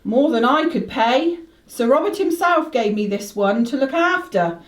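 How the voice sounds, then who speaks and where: A woman's voice: high-pitched, lively intonation, unhurried. An actress playing a chatty, deferential country inn landlady in a radio play, folksy and emphatic. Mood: obliging, chatty. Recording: roomy, echoing radio play recording.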